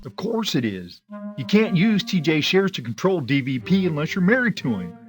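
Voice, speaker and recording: A man's voice: a little high, sing-song, normal speed, soft background music. An actor playing a cold, domineering conspirator in an audio drama, coaxing and scornful as he insists.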